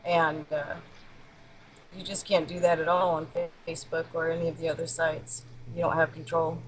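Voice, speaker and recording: A middle-aged woman with a distinctly low voice speaks as an interview guest. Her delivery is blunt and matter-of-fact, in a casual personal style, with some faint noise behind her. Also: level tone, normal speed. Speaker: interview guest, palm reader.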